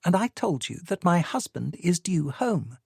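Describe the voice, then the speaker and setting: A man's voice: sits high, very animated, a little slow, gravelly, a little mellow, breathy edge, no room sound, voice pushed up, exaggerated voice. A cool, evasive woman speaks in a lifted, clipped voice, dismissive and cutting. A man reads her lines in an audiobook. The recording is dry and close. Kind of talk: audiobook character reading.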